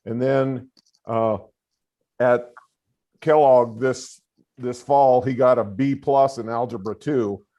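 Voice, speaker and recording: An older man who has coached for decades, explaining a student's case to a board over a remote call in a loud, earnest voice full of fillers. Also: medium pitch, fairly even intonation, takes it slowly, pauses often, lo-fi sound. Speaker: school administrator and longtime coach.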